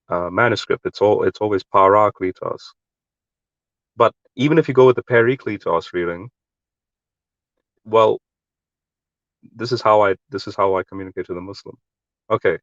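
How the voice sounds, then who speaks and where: A man with a lowish voice talks through his reasoning earnestly, stopping and restarting often. The audio is dry and a bit dull, like a lo-fi stream. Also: a bit bright, dry recording. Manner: earnest, explanatory, with many fillers and restarts. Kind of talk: casual discussion.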